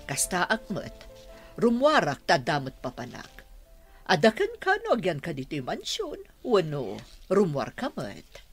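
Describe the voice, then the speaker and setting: A woman playing a shrewd, suspicious middle-aged mother and mansion mistress in an Ilocano radio drama, with a husky voice. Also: on the low side, huge pitch swings, measured pace, faint music behind, heavily acted. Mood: polite, guarded.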